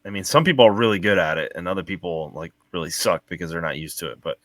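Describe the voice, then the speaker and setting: Deep voiced dude chatting fast on a panel, dry close sound. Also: male voice, dry recording. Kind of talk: casual chat.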